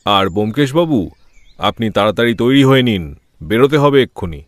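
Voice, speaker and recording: A man playing a flustered, hurried police officer in an audio drama, earnest and explanatory. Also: mid-range voice, lively intonation, dry recording, natural voice. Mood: urgent. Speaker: voice actor in an audio story. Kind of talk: Bengali detective audio drama acting. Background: music.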